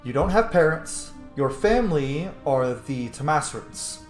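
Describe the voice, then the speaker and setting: An opinionated video game player commenting casually on a playthrough. The mid-pitched voice swings in a lively, animated way and swears freely, recorded in an echoey room with faint music. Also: a little slow. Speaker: gaming video host.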